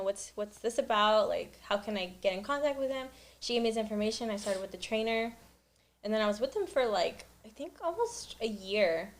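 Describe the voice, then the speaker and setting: Young female guest, mid pitch, giggly and talkative, casual storytelling. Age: young adult. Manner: chatty and giggly, fills with "like". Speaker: podcast guest. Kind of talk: casual chat.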